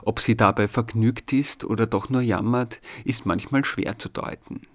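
A man's voice: mid-range voice, normal speed. A man with an Austrian accent, hosting a radio programme and reading scripted narration. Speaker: radio show host.

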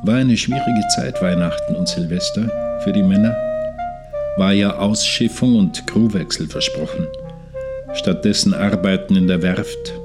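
An actor playing a troubled, sleepless seaman, narrating his log in a measured, sombre way in a radio play. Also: on the low side, huge pitch swings, loud music behind the voice. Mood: sombre, weary.

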